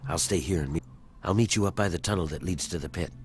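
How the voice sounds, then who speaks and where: A man voicing a weary, deep-voiced wasteland fugitive. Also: fast, faint music behind, close to an everyday voice. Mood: earnest. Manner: urgent, persuasive, wry at times. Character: weary male wasteland fugitive seeking help freeing his enslaved people. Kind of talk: video game character dialogue. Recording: game audio under faint noise.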